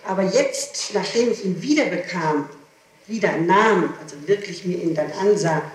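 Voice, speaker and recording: A woman's voice: fairly low pitch, normal speed. A woman answering questions in a formal interrogation, explaining herself and insisting on details as she repeats them. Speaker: person being questioned by a prosecutor. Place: reverberant room, interrogation recorded on tape. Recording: echoey archival tape, distant mic, hiss.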